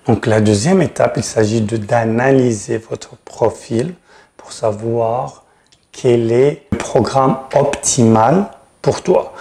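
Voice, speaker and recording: A man hosts an explanatory advice video at a medium pitch. He addresses the listener directly and frankly, leaning hard on the words that matter. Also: mellow. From Tunisia. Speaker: channel host, regulated immigration consultant.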